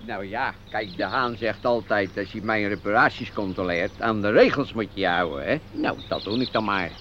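A man's voice: lowish voice, moderate pace, fairly bright tone, close to an everyday voice. An actor playing an amiable, timid repairman who speaks in a fussy, placating way in a radio play. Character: amiable, timid, rule-abiding repairman Mr Specht. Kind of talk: Dutch radio play acting. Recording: dull lo-fi radio recording, faint noise.

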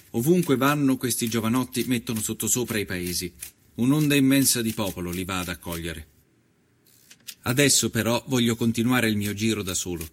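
A man narrating a scripted first-person story in a measured, literary and dramatic manner. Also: medium pitch, a bit bright. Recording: music under the voice. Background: musical soundtrack.

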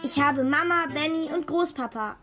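Woman as nine-year-old girl for children's audio drama: very high voice, precocious, headstrong, hurt, quick to reproach; music underneath. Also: natural voice. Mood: angry, reproachful. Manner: direct, reproachful, precociously argumentative. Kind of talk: children's radio drama acting.

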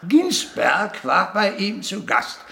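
A creaky, theatrical old puppeteer. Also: male voice, sits high, wildly swooping pitch, takes it slowly, sharp tone, exaggerated voice. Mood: relishing the anecdote. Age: older. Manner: theatrical, emphatic anecdote-telling. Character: old puppeteer Kork, theatrical, proud, prickly. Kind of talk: radio drama acting.

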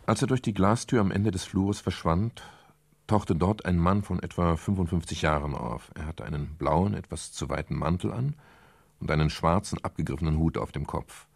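Private detective, very low, creaky, husky, composed, radio crime drama narrator. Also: male voice, normal speed, full character voice. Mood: composed, matter-of-fact. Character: world-weary male private detective, calm, protective.

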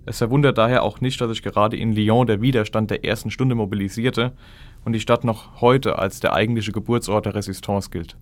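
A man's voice: lowish voice, steady tone, fairly quick, dry recording. A man speaking as a historical expert, explaining in an academic manner for a documentary.

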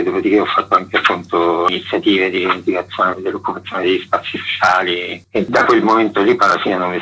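A man's voice: low-pitched, sharp tone, a little hiss or hum, rough recording. A middle-aged man, talking discursively in a casual radio interview, with frequent filler words. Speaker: interview guest, graphic artist.

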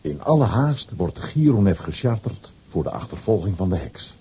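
A man narrating a children's radio play in a scripted storytelling style, with a dark, husky voice. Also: low-pitched, sing-song, moderate pace, heavily acted.

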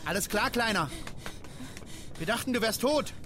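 A man's voice: high voice, expressive, normal speed, background noise. A man playing a grim but kindly corpse hauler in a radio drama. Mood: gruff kindness. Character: grim, bewildered corpse-hauling workman, gruffly kind.

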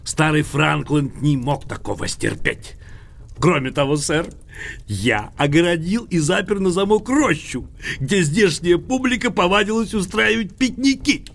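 An actor in an audio drama playing a cranky, boastful, litigious old English gentleman, emphatic, pompous and theatrical, with a creaky, husky voice. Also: sits high, lively intonation, unhurried, full character voice. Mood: gloating. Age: older.

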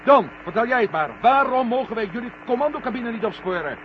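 An actor playing a headstrong, curious astronaut with a commanding, impatient manner in an old radio drama. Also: male voice, very high voice, animated, fast talker. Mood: exasperated. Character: headstrong, curious male astronaut on a moon mission. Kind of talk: 1970 Dutch radio drama acting. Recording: dull, noisy old radio drama recording.

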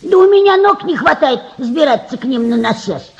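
An actress playing an old, plain-spoken housemaid with a folksy, grumbling manner in a radio play. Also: female voice, sits high, animated, normal speed, gravelly, darkish tone. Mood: stubborn. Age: older. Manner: folksy, garbles learned words. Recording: dull radio drama recording.

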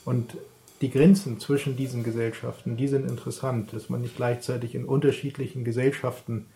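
A man with a mid-pitched, mellow and dark voice speaks as an interviewed commentator, laying out an idea in an academic way. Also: level tone, fairly quick. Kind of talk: academic explanation.

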